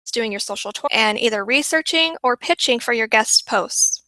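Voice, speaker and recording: Upbeat woman teaching a webinar, voice fading from a long week, crisp bright tone, dead-dry sound. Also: a little high, expressive, moderate pace, dry recording. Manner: upbeat, repeats for emphasis. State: losing her voice. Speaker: webinar presenter.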